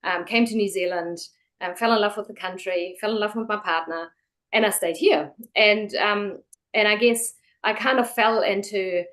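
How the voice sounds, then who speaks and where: A woman talks as a guest in a relaxed interview. She is chatty and loose, with many fillers and restarts. Her voice sits at a middle pitch. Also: moderate pace. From Germany. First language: German. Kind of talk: casual interview.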